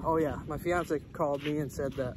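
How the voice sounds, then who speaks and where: A man's voice: on the high side, steady tone, moderate pace. A young man casually narrating his farm chores to viewers, filmed on an action camera in barns, a loader cab and outdoors in wind and rain. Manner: easygoing, chatty, addresses viewers as guys. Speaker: dairy farmer vlogger. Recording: loud wind and engine noise on camera mic. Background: loud wind, rain and machinery.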